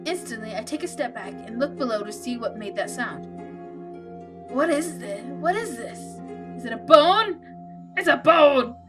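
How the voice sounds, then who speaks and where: A woman reading out the part of an anxious, lost young protagonist in a horror visual novel, measured and subdued. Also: high-pitched, lively intonation, over loud music. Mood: startled. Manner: measured, subdued, stumbles over some words.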